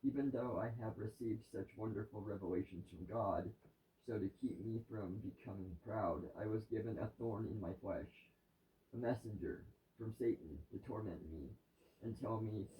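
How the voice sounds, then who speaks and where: A man reading a devotional scripture passage aloud in a halting way, stumbling now and then, recorded at home. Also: lowish voice, warm tone. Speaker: reader of a daily devotional. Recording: distant, roomy, dull lo-fi sound.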